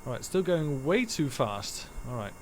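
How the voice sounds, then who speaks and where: A man's voice: mid-range voice, sing-song, moderate pace, slight background noise. A man whose first language is Dutch, giving rambling, self-correcting live commentary while streaming a game from home.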